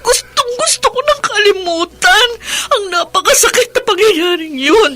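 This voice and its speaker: A grieving widow in a radio drama, with a very high, bright voice. She pleads emotionally, haunted by loss, her pitch swinging widely. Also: expressive, faint music behind, full character voice. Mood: anguished, tearful. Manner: emotional, tearful, drawn-out pleading. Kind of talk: Filipino radio drama acting.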